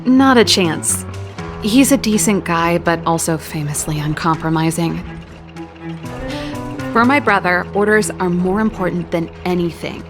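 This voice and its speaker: An actress voicing a wry, candid young exiled scientist in a video game, talking in a reflective, articulate way. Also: female voice, fairly low pitch, a little slow, lightly acted. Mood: wry, practical. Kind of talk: scripted dramatic game dialogue. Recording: loud background music under the voice. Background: game cutscene music.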